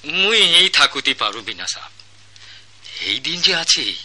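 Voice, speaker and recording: A man's voice: on the high side, big pitch swings, slow pace, sharp tone, heavily acted. A man voicing a simple hill servant who speaks in a broken rustic dialect, in a radio drama. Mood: pleading.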